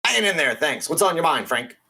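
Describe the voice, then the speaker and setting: A man's voice: on the high side, very animated, fairly quick, vocal fry, sharp tone, slightly rough, exaggerated voice. A man playing a matter-of-fact host of a paranormal call-in radio show. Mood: genial, assured. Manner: matter-of-fact about bizarre things. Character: folksy conspiracy radio host of weird small-town stories.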